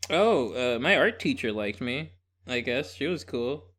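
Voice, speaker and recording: A man's voice: medium pitch, animated, measured pace, fairly bright tone. Young adult man, podcast co-host, casual comedic chat, profane, rambling, joking storyteller.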